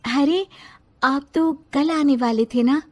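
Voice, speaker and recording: Gentle young mother, acted by a woman narrator: fairly high voice, patient, placating, moral-story style. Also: slow. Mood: affectionate. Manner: soft-hearted, placating.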